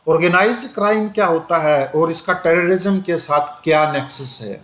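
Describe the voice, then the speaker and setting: A man's voice: fairly high pitch, fairly bright tone. A male teacher giving a conversational exam-prep lecture, switching between Hindi and English and repeating "okay" as a filler. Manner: conversational, repeats "ठीक है" often, switches into slow English phrases. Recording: echoey room with faint ambient noise.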